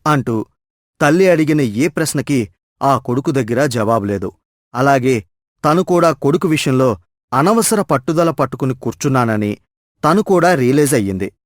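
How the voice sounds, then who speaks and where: A man's voice: mid-range voice, lively intonation, normal speed, no room sound, studio quality. A man narrating a scripted moral story as a storyteller.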